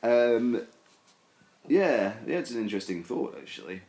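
A man's voice: mid-range voice, very animated, a little slow, a little mellow, roomy sound, a little hiss or hum, low-quality recording. A man talking casually as a podcast guest, hedging and rambling with many fillers and restarts. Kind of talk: casual discussion.

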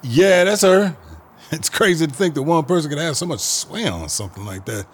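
An actor playing an uneasy campaign office client in an audio drama, with a hesitant, conversational manner. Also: male voice, a little high, animated, moderate pace, slightly rough.

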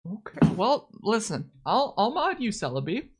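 Lively teasing woman streamer. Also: mid-range voice, normal speed, slightly rough. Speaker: streamer host. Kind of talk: casual stream chat.

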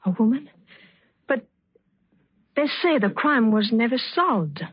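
A woman acting an anguished, secretive lover in a melodramatic 1940s-style radio drama, pleading and full of pauses. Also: a little high, lively intonation, slightly rough, no room sound, exaggerated voice. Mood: guarded. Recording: dull old radio recording, music behind.

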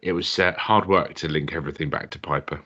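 A man's voice: rumbling low, steady tone, brisk, bright, forceful tone, highs cut off. A man co-hosting a podcast, joking and laughing, with lots of hesitant fillers. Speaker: podcast co-host. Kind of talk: casual chat.

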